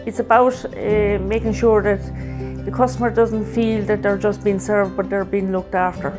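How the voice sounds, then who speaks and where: An Irish-accented woman who runs a bar, speaking plainly and deliberately in a documentary interview. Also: medium pitch, level tone, over music. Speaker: whiskey bar owner.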